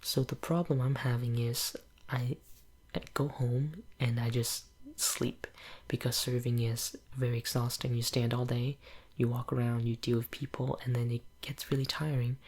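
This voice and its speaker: A young woman whose first language is Cantonese, chatting in a soft ASMR whisper at home, rambling with lots of fillers. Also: a bass voice, fairly even intonation, normal speed, slightly rough. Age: young adult. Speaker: ASMR channel creator. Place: home room with green screen.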